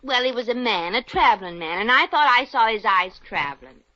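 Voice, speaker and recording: A woman's voice: fairly high pitch, lively intonation, fairly quick, pushed and bright. An actress playing a brassy, slangy young working-class woman in a 1950s radio drama. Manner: slangy, street-smart, ungrammatical. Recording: dull old radio recording.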